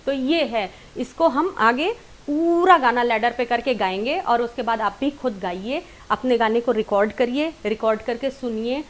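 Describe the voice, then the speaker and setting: A woman's voice: fairly high pitch, moderate pace. A woman with an Indian accent giving an informal singing lesson online, explaining directly to viewers and mixing in English terms. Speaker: singing teacher.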